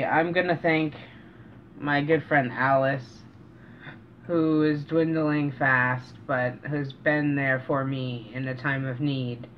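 A middle-aged man talking casually and reflectively to camera as a vlogger, earnest and halting, pausing mid-sentence, in a small room indoors. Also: medium pitch. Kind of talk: casual, reflective vlog talk. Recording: dull, noisy home recording.

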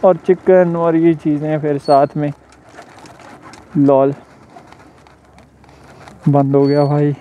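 A man's voice: a little high, level tone, slow pace, long pauses. A young man with a Pakistani Urdu accent, a delivery rider chatting casually to viewers while riding a motorbike through town, rambling and calling them brother. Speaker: food delivery rider and motovlogger. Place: outdoors on a motorbike on a town road. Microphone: helmet or chest camera while riding. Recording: dull lo-fi sound with faint engine and traffic noise.

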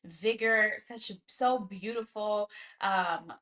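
A woman's voice: mid-range voice, slow, sharp tone. A woman hosting a casual online team talk over a video call, speaking in an effusive, emphatic way. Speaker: host interviewer.

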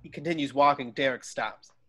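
A man's voice: medium pitch, a little fast, a bit bright. A man narrating stage directions from a script in an amateur table read over a video call.

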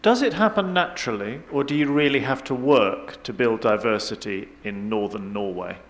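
A man's voice: mid-range voice, moderate pace. An older man moderating a live discussion on the stage of a large auditorium. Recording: live hall with audience noise. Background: audience murmur and noise.

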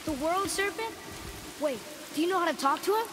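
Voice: very high voice, animated, brisk. A young performer voicing a curious, earnest boy adventurer in a video game, inquisitive and eager. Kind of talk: video game character acting. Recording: game cave, water and boat noise.

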